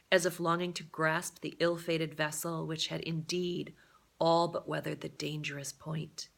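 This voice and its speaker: A woman reading a novel aloud as a storyteller, with a deliberate, dramatic and expressive delivery. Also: fairly low pitch, gravelly.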